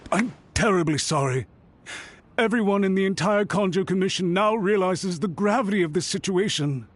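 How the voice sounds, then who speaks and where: A man's voice: sits high, moderate pace, a little hiss or hum, natural voice. A man voicing an anxious, remorseful official in a video game, speaking formally and deferentially as he pleads. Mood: desperate, pleading.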